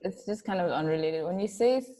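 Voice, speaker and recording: A woman's voice: fairly low pitch, expressive, fast, mellow. A woman with a Kenyan accent, a student chatting and giggling over a video call. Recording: reverberant video call audio.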